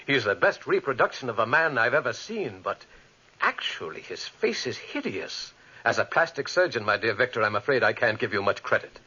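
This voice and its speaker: Older moralizing professor: emphatic, highly animated, lo-fi vintage radio drama sound. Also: male voice, moderate pace, breathy edge, exaggerated voice. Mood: wry, disapproving. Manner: theatrical, emphatic. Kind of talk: 1940s radio horror acting. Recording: dull lo-fi 1940s radio recording.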